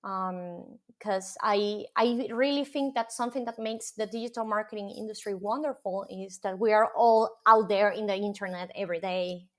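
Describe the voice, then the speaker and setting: A woman's voice: mid-range voice, steady tone, normal speed, few pauses. A woman from Venezuela whose first language is Spanish, speaking English with a Venezuelan accent as a podcast guest, thinking aloud with frequent ums and restarts. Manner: thoughtful, many ums and restarts. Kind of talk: casual interview chat.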